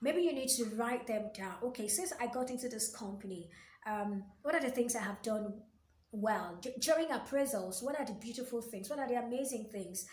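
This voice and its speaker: A woman with a high voice talks the listener through workplace advice, earnest and direct, repeating key phrases and asking pointed questions. Her voice rings a little in an echoey room. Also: animated, moderate pace, darkish tone. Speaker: workplace coach and video host. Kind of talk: motivational advice talk to camera.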